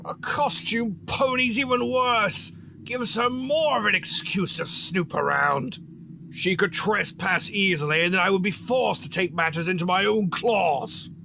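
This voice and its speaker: Phantom monster villain: slow, high-pitched, sardonic, menacing audio drama delivery. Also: male voice, vocal fry, noisy background. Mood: haughty menace. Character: sinister theatrical male phantom monster with claws, menacing, mocking.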